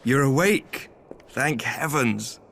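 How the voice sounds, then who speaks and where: An actor voicing a composed, caring nobleman in a fantasy video game, speaking formally and gently. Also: medium pitch, slow, creaky, background noise. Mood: relieved, solemn. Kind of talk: dramatic video game voice acting.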